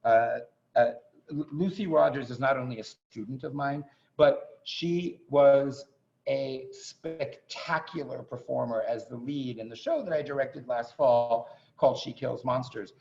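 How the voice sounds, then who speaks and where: A man with a gravelly, mid-pitched voice introduces a performer informally. He is effusive and halting, repeating words as he goes. The video call audio is dull and lo-fi. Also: a little mellow. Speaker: theatre director and teacher introducing performers.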